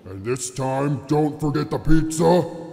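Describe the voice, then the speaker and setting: A man voicing a bossy, lazy boss in a cartoon parody, gruff and commanding. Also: a little high, lively intonation, slow pace, mellow, slight background noise, full character voice. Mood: impatient, bossy. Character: bossy, lazy boss ordering an underling around. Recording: long echoing hall reverb.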